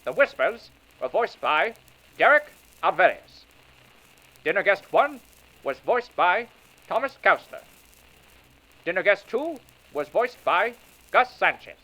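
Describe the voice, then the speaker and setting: Guy reading off the cast list, bright high voice, takes it slow. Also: rough recording. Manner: plain, steady list reading. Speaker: credits announcer. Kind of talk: reading end credits.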